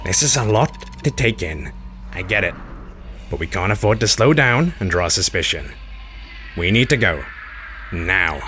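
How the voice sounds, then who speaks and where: A man's voice: low-pitched, very animated, unhurried, long pauses, pushed and bright, a little hiss or hum, pitched below the performer's own voice, exaggerated voice. A man voicing a blunt, wry male guide in a science fiction audiobook, matter-of-fact and assured. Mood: firm.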